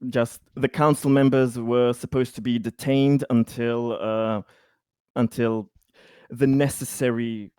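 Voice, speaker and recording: A man with an average-pitched voice chats as a player in a tabletop game. His phrasing is hesitant and broken up. The sound is close and dry. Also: darkish tone, smooth, dry recording. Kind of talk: casual in-game discussion.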